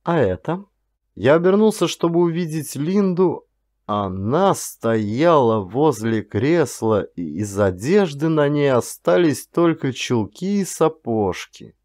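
A man's voice: medium pitch, a little mellow, no echo, clean studio sound. A man reading a first-person audiobook thriller as a dramatic scripted narration.

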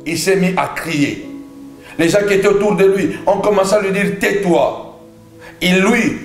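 A man preaching a fervent charismatic sermon in a declamatory way, hammering phrases through insistent repetition. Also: high-pitched. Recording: echoey room with background music.

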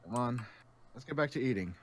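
A man's voice: mid-range voice, expressive, brisk, a crowd in the background, close to an everyday voice. A man playing a level-headed peacemaker among survivors in an amateur game roleplay. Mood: easygoing. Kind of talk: amateur Minecraft roleplay voice acting.

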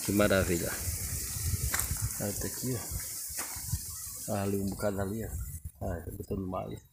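A man's voice: fairly low pitch, level tone, a little slow. A man, casually and enthusiastically showing his sunny backyard garden on a phone camera while walking. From Northeast Brazil. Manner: enthusiastic, repeats "que maravilha" and "olha". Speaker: vlogger. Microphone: phone camera held while walking. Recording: outdoor phone audio with clear background noise.

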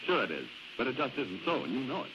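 A man's voice: mid-range voice, sing-song, quick pace, background noise. Male American radio announcer, 1940s. Polished, swooping sponsor delivery on a dull, band-limited vintage recording. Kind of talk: 1940s radio sponsor pitch, scripted banter.

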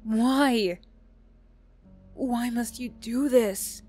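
A woman voicing a wounded, bitter young bully girl, defensive and vulnerable, in a halting ASMR roleplay style. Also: on the high side, a little mellow, faint music behind, voice pushed up. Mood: angry, flustered. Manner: halting, swearing, emotional, slow delivery.

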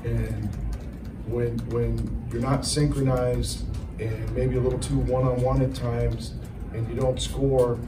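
A middle-aged man, a head basketball coach, giving measured answers at a postgame press conference, often repeating words as he thinks. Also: medium pitch, flat delivery. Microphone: table mic, recorded from the audience. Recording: echoey room, far from mic, noisy.

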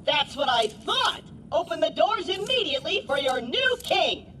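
A pompous little robot sidekick from a video game. The synthetic voice is extremely high and animated, full of self-important exclamations. It rings with echo, set back from the mic amid clear noise. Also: male voice, normal speed, distant mic, full character voice. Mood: triumphant. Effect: synthetic robot voice processing. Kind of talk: video game character acting.

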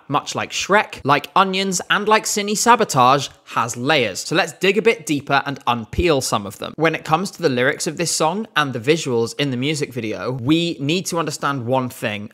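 A young man speaking into a desk microphone in his living room, giving an animated casual review and gesturing with his hands. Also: mid-range voice. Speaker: video reviewer. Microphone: studio mic on a stand in front of him.

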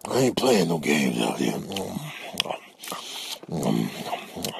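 Guy doing a big grumpy dog, deep and gravelly, grumbling warnings, funny animal dub. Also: very animated, takes it slowly, noisy background, heavily acted. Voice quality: gravelly, low. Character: big surly male dog guarding his meal. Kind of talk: comedic animal voice-over.

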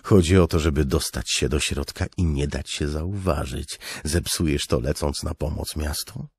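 A man's voice: a bass voice, normal speed, gravelly, husky, dry recording, clean studio sound, exaggerated voice. Man voicing a tense young soldier.

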